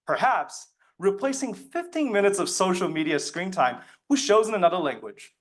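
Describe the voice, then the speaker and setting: A man's voice: high voice, big pitch swings, normal speed. A young man, a native Mandarin speaker, delivers a prepared persuasive speech as a student competitor, with earnest, emphatic oratorical phrasing. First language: Mandarin Chinese.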